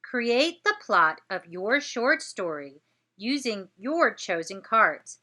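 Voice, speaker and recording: A woman teacher presenting a step-by-step lesson for children, with deliberate, encouraging delivery. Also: a little high, very animated, pushed and bright. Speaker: teacher presenting an educational show.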